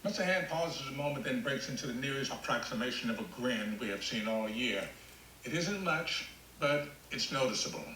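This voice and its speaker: A man's voice: mid-range voice, animated, moderate pace, bright, forceful tone, reverberant, a little hiss or hum, low-quality recording. A man reading stage directions as scripted screenplay narration at a table read.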